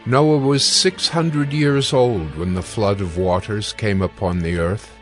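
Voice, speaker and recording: A man narrating dramatized scripture from a script in a solemn, measured and formal manner. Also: lowish voice. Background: music.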